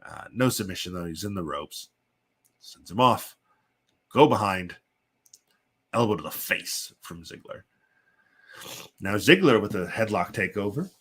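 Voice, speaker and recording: A man with a congested, sniffly voice from a chest cold, hosting and giving casual, halting play-by-play commentary on simulated wrestling. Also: lowish voice, expressive, fairly bright tone, breathy edge. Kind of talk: casual live play-by-play commentary with chatty asides.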